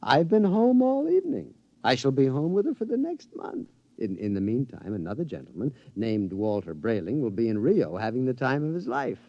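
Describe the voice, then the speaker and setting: Giddy henpecked husband. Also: male voice, medium pitch, moderate pace, hardly any pauses, no room sound. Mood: pleased. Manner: excitable, stammering, giggling. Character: henpecked husband, gleeful schemer, male, middle-aged. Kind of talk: 1950s radio drama acting. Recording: lo-fi 1950s radio broadcast.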